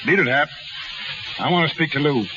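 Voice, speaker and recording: Actor as possessive carnival father, high-pitched, distant. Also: brisk, natural voice. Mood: furious. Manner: blunt, slangy, emotional. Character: gruff, possessive middle-aged carnival father, bitter and pleading. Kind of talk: 1930s radio acting. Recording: muffled, distant, noisy 1930s radio recording.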